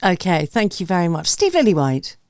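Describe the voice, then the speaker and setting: A woman's voice: lowish voice, very animated, fairly quick, darkish tone, dry recording, clean studio sound. A woman hosting a music review panel informally, polite and somewhat hesitant as she moves between speakers. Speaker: host of a music review show.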